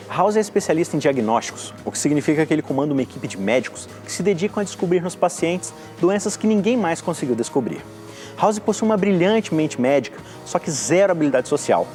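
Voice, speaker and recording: Man, review narration, measured, slightly high voice, soft music behind. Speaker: TV series commentator.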